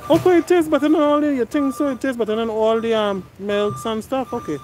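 A woman's voice: on the high side, fairly even intonation, a little fast. A middle-aged woman, chatting to viewers and reading prices aloud while shopping in a big warehouse store, filmed on a phone. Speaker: vlogger. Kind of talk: casual shop-with-me chat. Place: large warehouse store, indoors. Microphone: phone camera held while pushing a cart.

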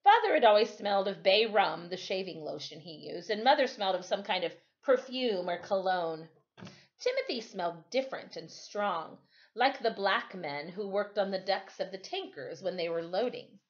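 Middle aged lady reading a kids novel, really lively intonation, slightly muffled webcam sound. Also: medium pitch. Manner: measured, expressive reading. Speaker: storytime reader. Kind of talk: reading a children's novel aloud. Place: small furnished room at home.

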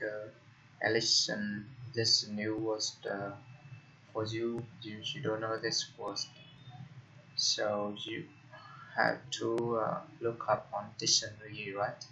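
Halting Vietnamese-accented man, slow and flat. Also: lowish voice, vocal fry. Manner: halting, frequent "uh" fillers and restarts. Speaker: tech tutorial host. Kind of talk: casual screen-recorded tutorial. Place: indoor room at a computer. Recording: dull lo-fi computer mic with faint noise.

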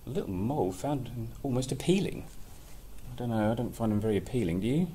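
A man's voice: medium pitch, expressive, quick pace, warm tone. A man in his thirties reading a picture book expressively, with playful, chatty asides. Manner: playful, chatty asides between read passages. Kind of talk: expressive children's story reading with casual asides. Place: small plain indoor office-like room. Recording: clearly noisy room recording.

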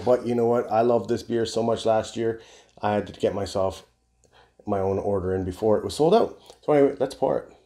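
Man, forties, soft-edged warm tone, lowish pitch, casual video reviewer, rambling, keen, sweary. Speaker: beer reviewer, video host. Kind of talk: casual review chat to camera. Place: indoor home bar room.

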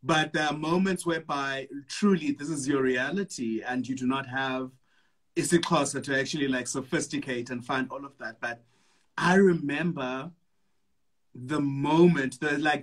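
A man with a mid-range voice who speaks in a thoughtful, measured way. He talks over a video call from a living room at home, often nodding along with short affirmations. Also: mid-range voice. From South Africa. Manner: reflective, frequent affirmations. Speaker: co-host. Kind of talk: casual discussion on a livestream. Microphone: video call on phone or laptop.

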